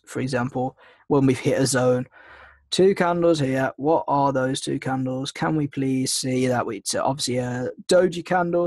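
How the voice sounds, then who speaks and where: A man's voice: mid-range voice, a little mellow, no echo. A man with a slight cold, casually teaching a trading webinar, rambling with lots of ums and restarts. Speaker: trading mentor hosting a webinar. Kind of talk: casual screen-share teaching.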